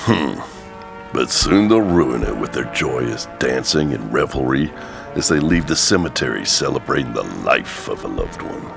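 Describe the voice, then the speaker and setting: A sly demonic narrator, extremely deep and swooping wildly in pitch. He savors each morbid phrase with theatrical relish, as in a horror audio drama with music underneath. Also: big pitch swings, moderate pace, gravelly, exaggerated voice. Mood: sly, intrigued. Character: sly devilish male spirit narrator relishing death and darkness.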